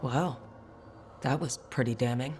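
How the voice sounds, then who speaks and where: Blunt, wry fantasy adventurer. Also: female voice, rumbling low, unhurried, darkish tone, faint music behind. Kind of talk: video game acting.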